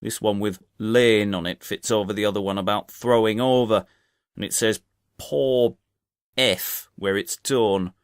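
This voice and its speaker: A man voicing a blunt, hot-tempered Victorian pub landlord in an audiobook, with gruff, colloquial speech. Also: on the low side, steady tone, a little slow, no echo, clean studio sound. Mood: bitter, outraged.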